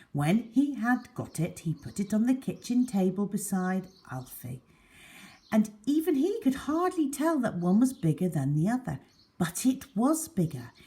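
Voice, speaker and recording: Slow, very expressive woman storyteller. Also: mid-range voice. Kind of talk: expressive children's story reading.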